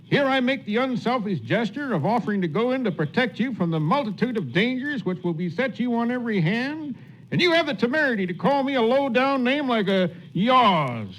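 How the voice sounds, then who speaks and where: An actor playing a pompous, boozy comic sidekick in a 1940s radio western, with florid, indignant speech. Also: male voice, very high voice, sing-song, fairly quick, fairly bright tone, heavily acted. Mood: indignant bluster. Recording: dull vintage 1940s radio recording.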